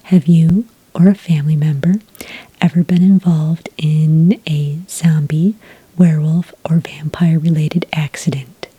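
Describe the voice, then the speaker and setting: A woman doing a soft-spoken ASMR roleplay as a friendly registration clerk, whispery and breathy, explaining things gently and without hurry. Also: deep voice, steady tone, no room sound, poor audio. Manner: gentle, unhurried customer-service explaining. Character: friendly female registration clerk for werewolf hunters. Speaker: ASMR roleplayer as a licensing associate.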